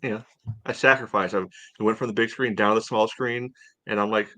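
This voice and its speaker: A man co-hosting a soccer podcast, recapping casually and haltingly, leaning on "you know" a lot. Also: lowish voice, steady tone, sharp tone, dry recording. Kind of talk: casual match recap chat.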